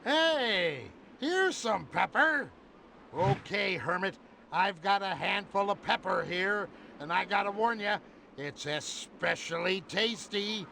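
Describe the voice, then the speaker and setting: Doddery old superhero geezer, high creaky voice, slow and hammy, cartoon game. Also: male voice, lively intonation, bright, forceful tone, slight background noise, exaggerated voice. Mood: befuddled, genial. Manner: pompous heroic declaiming, befuddled. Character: doddering old male superhero, earnest, hammy, easily confused. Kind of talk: cartoon video game acting.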